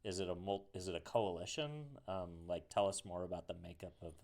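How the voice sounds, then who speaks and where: A man's voice: low voice, a little fast, darkish tone. A man hosting a podcast interview, asking questions in a hesitant way with many fillers and restarts. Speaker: podcast host. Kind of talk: interview conversation.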